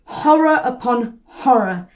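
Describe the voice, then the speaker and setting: A woman's voice: fairly high pitch, animated, takes it slowly, noisy background. Woman acting a nervous, stammering railway clerk. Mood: horrified. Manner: stammering, hesitant. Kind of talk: community audio drama acting.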